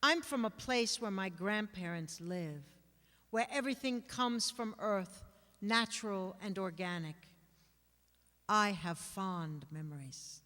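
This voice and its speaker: Woman reciting poetry live: mid-pitched, unhurried and measured, reverberant hall sound. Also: pushed and bright. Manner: measured, list-like phrasing with pauses. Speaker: actor or reader of others' writing. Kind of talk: dramatic reading of a personal poem.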